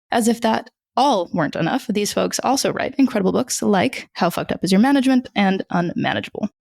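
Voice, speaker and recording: Upbeat gravelly woman podcast host. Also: mid-range voice, darkish tone, no room sound, clean studio sound. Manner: upbeat scripted intro, then short prompts. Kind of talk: interview podcast.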